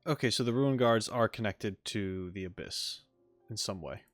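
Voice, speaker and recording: A man's voice: on the low side, normal speed, dry recording, faint music behind. A man streaming a video game, reacting casually on a close mic. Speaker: gaming streamer.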